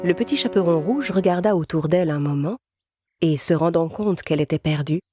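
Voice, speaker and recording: A woman tells a children's story in a slightly low voice. She narrates from a script, with music clearly playing behind her. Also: brisk, dark tone, no echo, studio quality.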